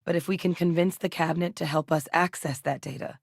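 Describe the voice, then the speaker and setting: An actress voicing a capable, guarded military scientist in a video game, speaking in a measured, earnest, matter-of-fact way. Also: female voice, sits low, steady tone, creaky, husky, dry recording, clean studio sound, full character voice. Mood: measured, businesslike. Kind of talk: video game character voice lines.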